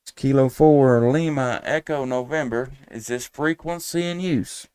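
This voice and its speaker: Clear background noise surrounds a man with an average-pitched voice. He hosts a casual amateur radio stream, speaking in a halting, folksy way. He rambles along without hurry, moving between chat and radio calls. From Tennessee, United States. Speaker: amateur radio operator and live stream host.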